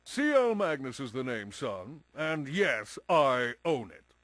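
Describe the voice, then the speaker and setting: A man's voice: medium pitch, very animated, takes it slowly, fairly bright tone, no echo. An actor playing a grumpy, pompous male tycoon in a cartoon game, speaking with blustering emphasis. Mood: angry, blustering.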